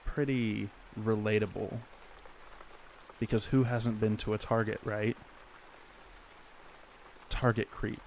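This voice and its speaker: Man, lowish voice, calm sleep-story narrator, faint background hiss. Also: gravelly, rough recording. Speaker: host and horror narrator.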